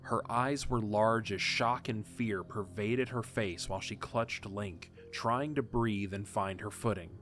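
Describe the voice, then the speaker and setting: A man with a fairly low voice narrates a story in a steady, measured way. Soft music runs faintly beneath his narration.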